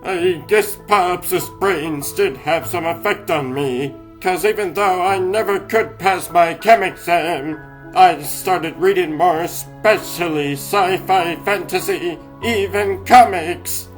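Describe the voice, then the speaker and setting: A man's voice: sits high, slow, soft background music, poor audio. A man voicing a young mouse basketball star, telling his story in wry, slangy scripted narration for a comic dub. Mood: wry, reflective.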